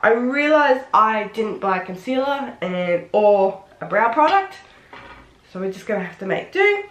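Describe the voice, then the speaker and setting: A woman with a head cold, sounding nasal and sniffly, chatting excitedly through a casual makeup tutorial. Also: fairly high pitch, a little slow. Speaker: beauty vlogger. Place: home room. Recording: roomy, echoey room.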